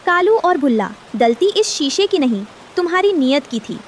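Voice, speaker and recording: A woman's voice: sits high, big pitch swings, moderate pace, a little hiss or hum. A woman voicing a protective, determined mother bird in a children's cartoon, reassuring and moralizing. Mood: stern, moralizing. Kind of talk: animated cartoon story dialogue.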